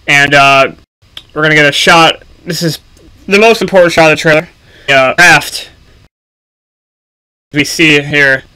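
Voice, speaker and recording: A man giving casual, unscripted gaming commentary for a video, speaking haltingly with frequent ums and pauses. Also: on the high side, pushed and bright, clean tone, low-quality recording.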